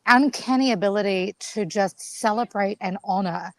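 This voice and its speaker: A woman from New Zealand, a keynote speaker, telling stories in an animated, expressive way as she asks a question. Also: mid-range voice, moderate pace, no room sound, rough recording. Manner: animated, expressive storyteller, many restarts. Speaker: guest co-host, keynote speaker. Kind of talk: conversational interview.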